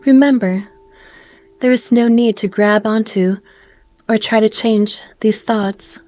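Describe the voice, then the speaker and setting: A woman guiding a meditation in a gentle, soothing way, speaking in slow phrases with pauses. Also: fairly high pitch, fairly even intonation. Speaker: meditation guide. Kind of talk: guided meditation narration.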